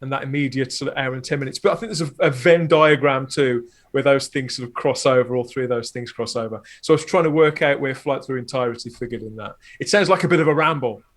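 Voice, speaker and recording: A man's voice: medium pitch, quick pace, hardly any pauses. A man talking casually as a guest on a podcast. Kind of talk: casual chat.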